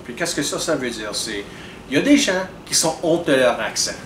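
A young man, talking earnestly to camera in a measured, deliberate way with frequent restarts. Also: mid-range voice, animated, a bit bright. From Canada. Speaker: video blogger. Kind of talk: direct-to-camera commentary. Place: indoors in front of a dark curtain. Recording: roomy, distant with air-conditioning hum.

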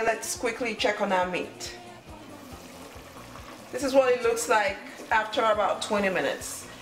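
A woman's voice: mid-range voice, a bit bright. A woman hosting a cooking show, giving step-by-step instructions in short phrases. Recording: music under the voice.

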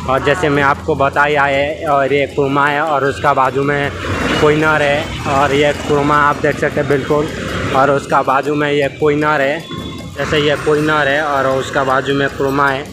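A young man narrating a casual vlog while riding a bicycle on a busy city street outdoors, filming with a phone at arm's length and repeatedly pointing things out to viewers. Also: fairly high pitch. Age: young adult. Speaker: vlogger. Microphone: phone camera held at arm's length. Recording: loud street traffic noise. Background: loud traffic noise.

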